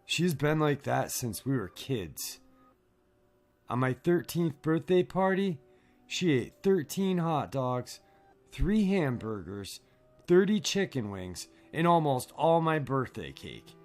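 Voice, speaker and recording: Man acting a teasing young boyfriend, lively swooping voice. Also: mid-range voice, slow pace, faint music behind. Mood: teasing, fond. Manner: jokey, teasing. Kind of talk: audio drama dialogue.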